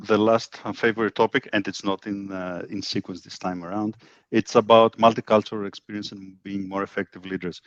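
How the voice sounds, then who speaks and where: A man speaks in a fairly low voice. He reflects in a conversational, personal style. The sound is very dry and somewhat dull, like lo-fi online audio. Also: little pitch movement, brisk, no room sound. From Greece.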